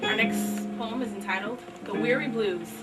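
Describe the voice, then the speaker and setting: A woman's voice: fairly high pitch, normal speed. A woman performer speaking to a live audience at a poetry event, recorded live in a library room. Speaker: actress performing a poetry reading and leading discussion. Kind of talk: dramatic, half-sung poetry recital and casual audience discussion. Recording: live event, distant mic, piano music behind.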